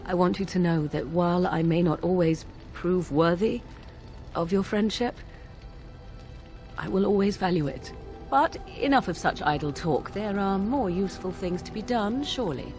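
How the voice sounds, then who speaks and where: An actress voicing a proud, guarded young witch in a video game, with formal, archaic, measured speech. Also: on the low side, a little mellow, natural voice. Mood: earnest, guarded. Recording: music underneath. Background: music.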